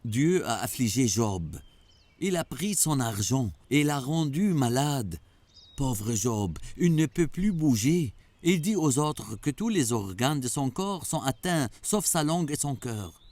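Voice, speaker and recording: Patient cartoon mentor, didactic, slow, expressive intonation, dry close sound. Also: male voice, medium pitch, no room sound. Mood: patient, instructive. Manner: didactic, measured, affectionate address. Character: wise, patient male mentor explaining moral lessons. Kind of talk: children's religious cartoon acting.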